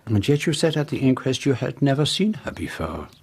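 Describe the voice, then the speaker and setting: An actor playing a fussy, shrewd detective in a radio drama, with a French-speaking European accent and precise, formal phrasing. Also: male voice, mid-range voice, very animated, fairly quick, slightly rough. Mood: probing. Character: fussy, shrewd, courteous foreign male detective. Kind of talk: radio drama acting and first-person narration.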